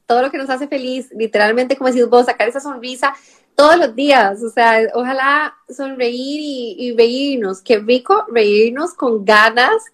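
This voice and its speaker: A woman with a Costa Rican accent hosts a relaxed conversation over a remote live call. She speaks in a slightly high voice, chatty and warm in rhythm, with frequent fillers and tag questions. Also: moderate pace. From Costa Rica. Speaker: podcast host. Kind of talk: casual conversational interview.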